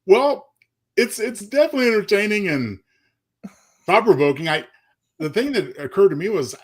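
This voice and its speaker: A man talks casually as a show co-host. His voice is a little high and rough-edged, and it moves up and down a lot. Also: big pitch swings, moderate pace. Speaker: co-host of old-time radio show. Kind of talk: casual chat.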